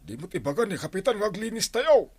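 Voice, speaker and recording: Husky Ilocano village woman. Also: medium pitch, big pitch swings, fast talker, a little mellow. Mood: griping. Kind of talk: radio comedy drama acting.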